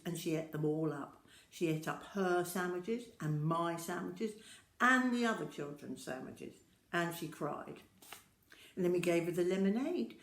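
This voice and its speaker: An older woman reading a children's story aloud, speaking directly and expressively to young listeners. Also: on the low side. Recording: roomy, echoing home-style recording.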